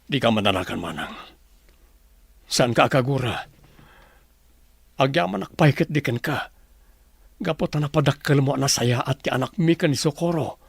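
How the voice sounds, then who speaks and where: A man's voice: mid-range voice, animated, moderate pace, creaky, pauses often, slightly rough, dry recording. An actor playing an earnest middle-aged father and doctor in a radio drama, with an emotional delivery. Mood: grateful, relieved.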